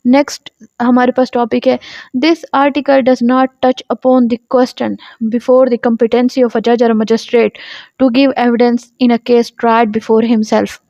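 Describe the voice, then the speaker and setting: A woman's voice: a little high, mellow. A woman with a Pakistani accent lecturing on law, reading out legal text and explaining it didactically, repeating and rephrasing points. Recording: dull lo-fi sound, roomy echo.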